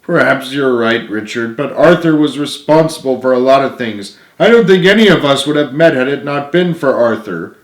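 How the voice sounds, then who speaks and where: A man's voice: medium pitch, lively intonation, moderate pace, hardly any pauses, pitched above the performer's own voice, close to an everyday voice. A man voicing a huge, strong, heartbroken and self-doubting man in a storybook, emotional and hopeless in manner. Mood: downcast. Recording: roomy, echoing room sound.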